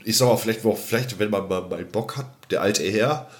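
A man co-hosting a podcast, chatting casually in a colloquial, rambling and somewhat halting way. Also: on the low side. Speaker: podcast co-host. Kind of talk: casual chat about science fiction.

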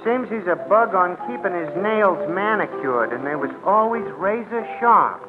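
A high-pitched, naive and chatty bartender, rambles in ungrammatical working-class slang. He is the good-natured comic sidekick of a 1940s radio drama, heard on a tinny old recording with music behind. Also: animated, moderate pace. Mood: rambling. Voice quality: folksy, ungrammatical working-class city speech.

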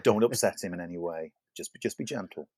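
A man with a deep, low-pitched voice hosts a casual film podcast, teasing his co-host in a playful way. The sound is dry and close, with no room echo. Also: quick pace, darkish tone, breathy edge.

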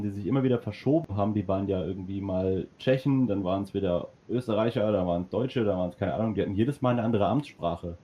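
A man's voice: fairly low pitch, dark tone. A man chatting casually in a group, rambling enthusiastically with lots of fillers. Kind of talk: casual chat.

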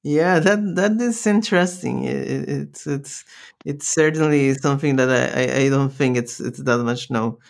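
A man hosting a casual interview in a halting way, repeating words as he forms his thoughts. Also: medium pitch, creaky. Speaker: interview host.

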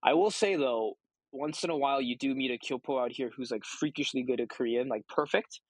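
A man's voice: mid-range voice, brisk, breathy edge, no room sound, poor audio. A young man answering interview questions casually, with many ums and restarts. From United States. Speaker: guest.

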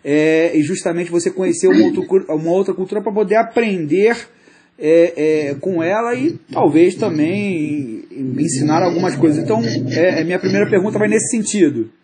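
A man's voice: high voice, warm tone. A male podcast co-host, asking a guest a long question, full of hesitations and fillers. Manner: halting, lots of fillers and restarts. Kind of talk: interviewing a guest.

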